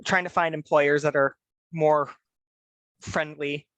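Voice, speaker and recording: Slow, hesitant man clarifying, dry sound. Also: a little high, fairly even intonation, fairly bright tone, dry recording. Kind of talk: clarifying a question.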